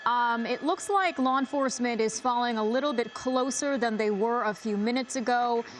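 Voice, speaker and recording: A woman with a slightly high voice anchors live breaking news. Her phrasing is unscripted and halting, with faint noise behind her.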